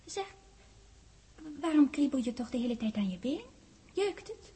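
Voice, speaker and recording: An actress playing a lively, bossy ten-year-old girl in a childlike, chatty way, in 1960s Dutch radio drama style. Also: high-pitched, moderate pace, exaggerated voice. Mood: bossy, fussing. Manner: childlike, chatty, searching for words. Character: lively, bossy little girl on her tenth birthday. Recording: husky-free vintage 1960s radio recording.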